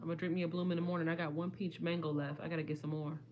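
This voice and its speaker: A woman, her voice slightly hoarse, chatting casually and rambling to viewers on a livestream. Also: deep voice, little pitch movement, racing, dull audio, slight background noise. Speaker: vlogger.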